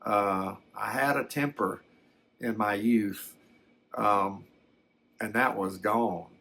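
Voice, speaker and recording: Guy playing older folksy man telling his alien abduction story asmr style, real slow and halting, kinda low, gentle and peaceful. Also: creaky, slight background noise, full character voice. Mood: reflective, halting. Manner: hesitant, halting, reflective, folksy. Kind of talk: first-person ASMR storytelling.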